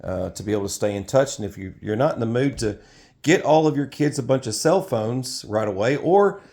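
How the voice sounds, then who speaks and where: A man's voice: medium pitch, animated, brisk, a little mellow. A middle-aged man giving an informal, off-the-cuff product review in a rambling way, often correcting himself.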